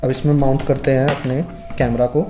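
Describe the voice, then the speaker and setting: Soft-spoken man demonstrating gear. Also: medium pitch, level tone, brisk, lo-fi sound, soft background music. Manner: explains step by step while handling gear. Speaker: motovlogger reviewing gear. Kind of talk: casual unboxing demo. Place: indoors at a table, off camera.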